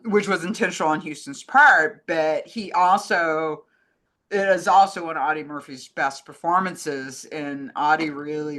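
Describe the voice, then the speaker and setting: Bright-voiced woman chatting about films. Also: sits low. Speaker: co-host. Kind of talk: casual film chat.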